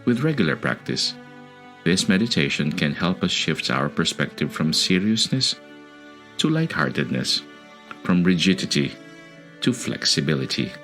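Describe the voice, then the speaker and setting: A man narrating a guided meditation in a measured, deliberate way, pausing between phrases. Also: low voice, big pitch swings, a bit bright. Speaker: meditation podcast host. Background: music under the voice.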